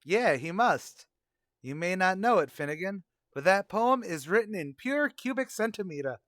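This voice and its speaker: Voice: medium pitch, expressive, moderate pace, fairly bright tone, no echo, clean studio sound, natural voice. An actor playing a pompous, wisecracking tavern manager with a brash, mock-erudite manner in a radio comedy. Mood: smug. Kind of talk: old-time radio comedy acting.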